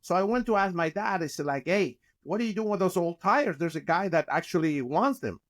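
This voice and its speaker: A man's voice: high voice, brisk. A middle-aged man with a Chilean Spanish accent, telling stories as a guest on a video call from his office. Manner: animated, gesturing with hands, frequent restarts. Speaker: guest, company founder. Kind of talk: casual interview storytelling. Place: office with bookshelves, indoors.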